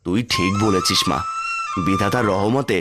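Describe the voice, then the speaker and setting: A man's voice: fairly low pitch, normal speed, over loud music, close to an everyday voice. A man voicing a humble, kindly, honest street-food seller and father in a cartoon. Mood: grateful, earnest. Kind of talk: cartoon voice acting.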